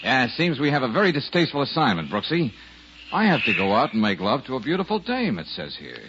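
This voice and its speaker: An actor playing a wisecracking, unflappable private detective in a 1940s radio drama, with a breezy, sardonic, slangy delivery. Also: male voice, medium pitch, huge pitch swings, fast talker. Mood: playful, teasing. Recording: muffled, noisy old radio recording.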